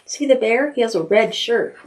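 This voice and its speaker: A woman's voice: a little high, expressive, normal speed, a little hiss or hum. An American woman leading storytime for toddlers, speaking in a sing-song read-aloud style with simple prompts for small children.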